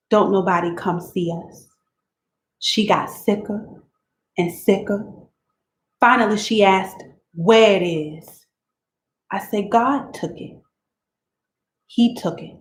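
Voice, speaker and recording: A woman voicing a weary, abused teenage country girl who confides in God, speaking in a plain rural dialect, quiet and resigned. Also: medium pitch, lightly acted. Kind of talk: dramatic reading of letters. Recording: roomy room echo.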